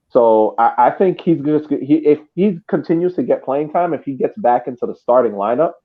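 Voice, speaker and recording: A man co-hosting a sports podcast, giving casual analysis haltingly and repeating words as he thinks. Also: medium pitch, narrow, tinny audio. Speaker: podcast co-host.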